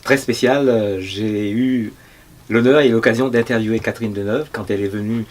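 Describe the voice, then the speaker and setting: A man from Mauritius tells a story from his years as a radio journalist. He speaks in an easygoing way. His voice sits fairly low. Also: steady tone, moderate pace. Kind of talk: casual anecdote.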